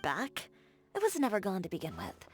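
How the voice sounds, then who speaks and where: A self-assured young tailor. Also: female voice, mid-range voice, wildly swooping pitch, moderate pace, faint music behind. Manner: self-assured, dry and candid. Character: confident, assertive young female tailor. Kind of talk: video game voice acting.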